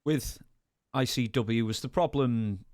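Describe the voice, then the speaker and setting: A man's voice: mid-range voice, slow, darkish tone, dry recording, studio quality. A man hosting a podcast, putting questions to his guest in a casual interview style.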